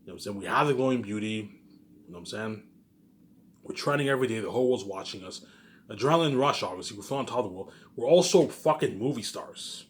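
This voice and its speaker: A man's voice: fairly low pitch, expressive, quick pace. A man in a casual, rambling monologue, boastful and repetitive, emphatic and swearing freely as he leans on the same catchphrases. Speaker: online video creator.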